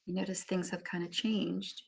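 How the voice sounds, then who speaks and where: A woman teacher reading a storybook aloud to young children, with expressive emphasis and chatty asides to them. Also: lowish voice, moderate pace, vocal fry, slight background noise.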